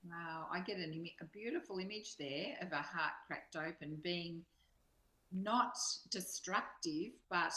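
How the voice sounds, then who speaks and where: A woman reflecting thoughtfully in a spiritual discussion, haltingly searching for images. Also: lowish voice, sharp tone. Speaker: study partner. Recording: roomy, echoing sound.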